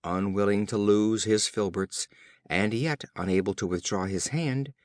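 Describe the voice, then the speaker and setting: A man narrating children's fables for an audiobook in a measured, formal storytelling manner. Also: on the low side, no echo, studio quality.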